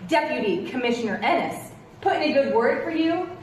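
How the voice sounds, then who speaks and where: Casting: woman playing veteran female cop, middle-aged, high swooping voice, defensive and patronizing, heard across an echoey theatre. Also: expressive, a little fast. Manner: patronizing, calls people darling and hun. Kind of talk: live stage play acting. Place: theatre stage with rows of seats. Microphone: distant camera. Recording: echoey theatre stage from far off, noisy.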